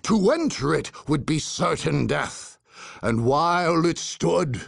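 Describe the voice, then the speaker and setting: Grave, ominous game narrator. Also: male voice, fairly high pitch, expressive, slow, gravelly, no room sound, clean studio sound, heavily acted. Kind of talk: dramatic scripted game narration. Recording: music behind.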